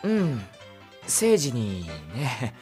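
A woman's voice: extremely low pitch, sing-song, drawn-out words, mellow, faint music behind, exaggerated voice. A woman playing an easygoing, awkward young man in an anime-style audio drama, talking in a casual, hesitant and apologetic way. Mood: tentative, apologetic. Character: easygoing, awkward young male master of the mansion.

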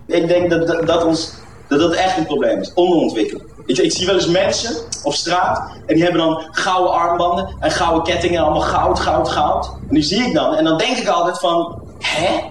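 A man's voice: a little high, a little fast. A man in an amused, casual comic rant, acting out imagined conversations. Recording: roomy echo, distant mic, noisy background.

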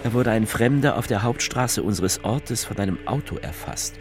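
An actor playing an evasive, self-justifying politician in a theatrical speech, speaking emphatically with deliberate pauses. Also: male voice, fairly low pitch, lively intonation, faint music behind. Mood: reminiscing. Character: male politician orating, evasive, self-justifying, folksy storyteller.

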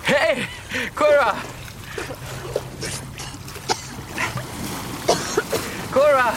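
A man's voice: extremely high pitch, slow. An actor playing a protective, attentive male lover in a German radio drama, coaxing and calling over splashing water. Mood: alarmed. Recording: loud splashing water noise behind. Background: water splashing and trickling.

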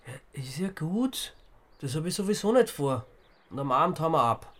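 A man's voice: on the high side, sing-song, moderate pace, a bit bright. A man with an Austrian accent playing a flippant, reluctant everyman hero in a radio drama, speaking casually. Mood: grudging. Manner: casual, sardonic.

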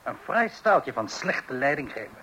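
A man's voice: mid-range voice, very animated, a little slow, bright, forceful tone, a little hiss or hum, low-quality recording. An actor playing an opinionated, self-righteous, pompous man in a Dutch radio play. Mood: disapproving. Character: opinionated, self-righteous man who believes in strict child-rearing.

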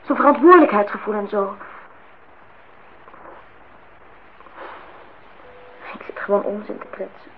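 A woman's voice: medium pitch, fast talker, close to an everyday voice. An actress playing an indignant, disillusioned young woman in a Dutch radio play, outspoken and reproachful as she speaks her mind. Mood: earnest, reproachful. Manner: reproachful, talks in long outpourings. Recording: dull, noisy old radio play recording.

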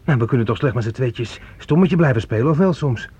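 A man's voice: mid-range voice, animated, brisk, darkish tone, breathy edge. An actor in a Dutch radio play playing a menacing, needy fugitive who resents his mother, brooding and needling. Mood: menacing, taunting. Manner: brooding, needling, childishly aggrieved. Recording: muffled old radio play recording.

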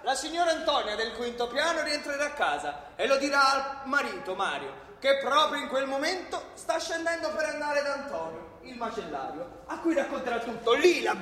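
A man with a Roman accent narrating a radio drama as a theatrical storyteller, expressive and colloquial, with dramatic pauses. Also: very high-pitched. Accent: Roman Italian. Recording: echoey hall with long reverb.

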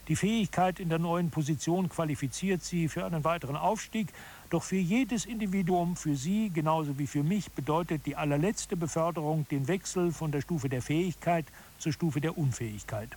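An actor playing an expert who explains case studies in formal scripted radio narration. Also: male voice, fairly high pitch, darkish tone, slight background noise, lightly acted.

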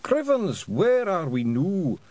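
A man voicing a simple, excitable little pixie with a Scottish accent, in a storybook reading. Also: sits high, slow, no room sound, pitched above the performer's own voice, exaggerated voice. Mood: bewildered. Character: daft tiny male pixie, excitable and cheerfully simple.

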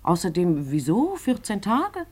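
An actress playing the coquettish, mocking, evasive wife of a provincial police chief in a 1950s German radio play. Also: female voice, medium pitch, huge pitch swings, unhurried, a little mellow, husky, full character voice. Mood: evasive, needling. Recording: 1950s radio drama recording.

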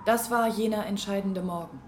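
An actress in a German radio play playing a solemn village storyteller. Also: female voice, mid-range voice, steady tone, normal speed, lightly acted.

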